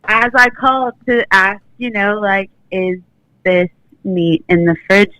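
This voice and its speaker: A woman's voice: mid-range voice, takes it slowly, bright, forceful tone, dry recording, poor audio. A woman in her twenties calling in to a show, chatting casually and filling her talk with "like". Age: 20s. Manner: chatty, full of "like". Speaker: caller. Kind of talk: casual phone-in chat.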